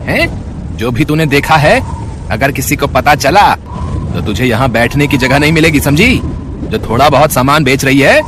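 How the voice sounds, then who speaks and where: A man's voice: medium pitch, expressive, unhurried, noisy background, close to an everyday voice. A man playing a shifty, bullying male character in a moral-story cartoon, with a blustering manner. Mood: menacing. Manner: blustering, threatening. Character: shifty, bullying male sweet-shop owner and drunkard husband. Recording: music bed behind the voice.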